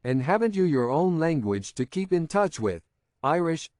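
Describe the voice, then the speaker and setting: A man's voice: on the high side, sing-song, moderate pace, darkish tone, no echo, studio quality. Man reading as an insistent, teasing young woman. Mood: pressing. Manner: insistent, pressing questions.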